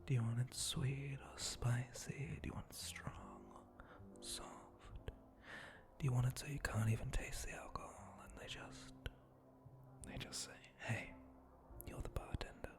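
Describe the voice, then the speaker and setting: A man playing a flirty, teasing spider-monster bartender in an intimate ASMR roleplay, murmuring and often dropping into a breathy whisper. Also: medium pitch, steady tone, close to an everyday voice. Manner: slow, teasing, murmuring, lingering pauses.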